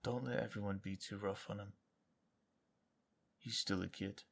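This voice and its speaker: Audio drama: protective, troubled young male guardsman. Low, fry-edged voice, halting and earnest delivery. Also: fairly even intonation. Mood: subdued, protective. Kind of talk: dramatic audio fiction acting.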